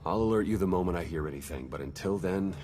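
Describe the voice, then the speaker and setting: An actor playing a weary, businesslike intelligence agent in a video game, speaking matter-of-factly. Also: male voice, deep voice, fast, mellow, soft background music, close to an everyday voice. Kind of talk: video game cutscene acting.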